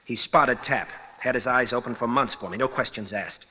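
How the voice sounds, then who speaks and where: An actor playing an earnest young condemned prisoner, intense and pleading, in a radio detective drama. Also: male voice, medium pitch, moderate pace, pushed and bright, a little hiss or hum, natural voice. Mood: urgent, pleading. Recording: dull vintage radio recording.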